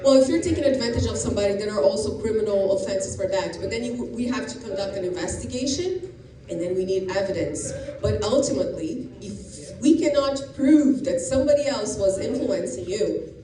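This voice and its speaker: A woman's voice: medium pitch, lively intonation, fairly quick, mellow. A middle-aged woman from Brazil, a police officer, explaining things plainly to students with many restarts. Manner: explanatory, frequent restarts and fillers. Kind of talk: informal talk to students. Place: school classroom or gym. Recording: echoey room, distant and noisy.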